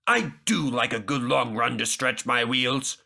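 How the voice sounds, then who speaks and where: A man's voice: medium pitch, a little slow, creaky, sharp tone, exaggerated voice. A man voicing a big, proud, grumbling steam engine in a matter-of-fact way. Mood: content.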